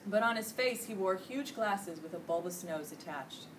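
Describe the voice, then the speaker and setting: A middle-aged American woman reading a children's storybook aloud with expressive, emphatic delivery. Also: mid-range voice, moderate pace. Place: indoor school classroom. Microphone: distant camera microphone. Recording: distant camera mic, noisy room.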